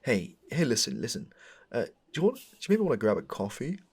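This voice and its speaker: Smitten, faintly sinister suitor for comedy roleplay, male actor; gravelly, very animated, stammering and earnest. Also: fast talker, full character voice. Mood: awestruck, flustered. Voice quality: low, gravelly, husky. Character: smitten, rambling, darkly suspicious man meeting his crush. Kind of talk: comedic audio roleplay, spoken to the listener.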